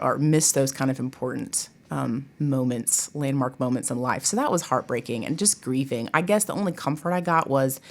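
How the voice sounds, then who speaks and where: Low-pitched woman, casual and expressive. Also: gravelly. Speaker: college theatre director and professor, podcast guest. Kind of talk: casual interview chat.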